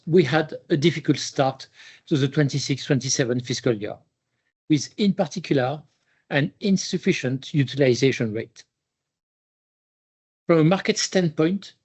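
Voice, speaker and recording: Male chief executive, slightly high voice, formal and measured delivery of a prepared company update. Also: darkish tone, husky. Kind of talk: scripted earnings presentation.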